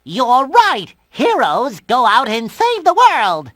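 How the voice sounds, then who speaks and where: A goofy, childlike cartoon sponge with a very high, bouncing voice. He is naive and eager, and music plays behind him in the game. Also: huge pitch swings, takes it slowly, a bit bright, no room sound, studio quality, exaggerated voice. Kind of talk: cartoon video game acting.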